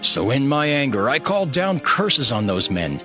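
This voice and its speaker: A man's voice: mid-range voice, very animated, moderate pace, pushed and bright. A man playing a stern, devout ancient governor, narrating his reforms in an earnest, emphatic, solemn dramatized scripture style. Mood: stern, resolute. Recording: music bed behind the voice. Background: music.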